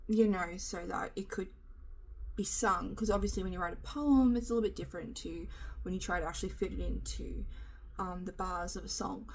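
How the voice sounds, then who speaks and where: A middle-aged woman with a husky, slightly nasal voice, hoarse from being sick, chatting frankly and rambling in a casual vlog filmed on a handheld phone. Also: medium pitch, fairly bright tone, slight background noise. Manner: rambling, frank, self-deprecating, swears casually. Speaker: vlogger. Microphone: handheld phone camera.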